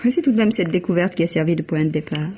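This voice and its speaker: An actress playing a refined, emotional widow in a vintage French radio mystery, with genteel, expressive theatrical delivery. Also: female voice, lowish voice, fast talker, slight background noise, lightly acted. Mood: admiring. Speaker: actor. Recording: muffled old radio drama recording.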